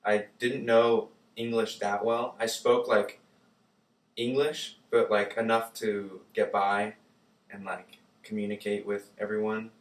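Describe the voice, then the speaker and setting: Young German-born man, low and flat. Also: takes it slowly, echoey room, distant mic. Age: young adult. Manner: relaxed, many fillers like "like" and "uh". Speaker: interviewee. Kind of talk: casual personal storytelling.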